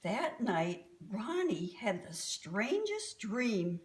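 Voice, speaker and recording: A woman reading a children's story aloud as an expressive storyteller. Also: mid-range voice, very slow.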